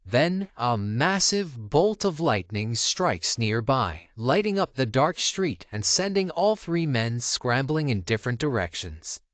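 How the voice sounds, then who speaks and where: A male narrator delivers a scripted voice-over in a mid-range voice. His intonation is lively and varied, and the recording is dry with hardly any room sound. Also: medium pitch, a little slow, few pauses, no room sound. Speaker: narrator of a viral video compilation.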